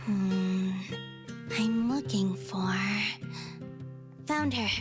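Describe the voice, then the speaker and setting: A woman's voice: medium pitch, very slow. A woman voicing an absent-minded, placid young girl in an anime-style game, hesitant and literal in manner. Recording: clear music behind the voice.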